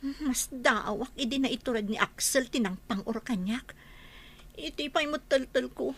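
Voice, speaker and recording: A woman's voice: high-pitched, unhurried, a bit bright, exaggerated voice. A woman voicing an aggrieved, complaining child in a radio drama.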